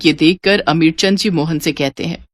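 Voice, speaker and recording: A woman's voice: low voice, moderate pace, no room sound. Woman narrating a story, dry sound.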